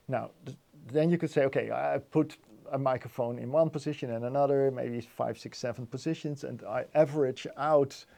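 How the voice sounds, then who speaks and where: A man giving a technical explanation as an interview guest, haltingly, often repeating words as he thinks. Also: medium pitch, gravelly, warm tone. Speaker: interview guest, loudspeaker designer.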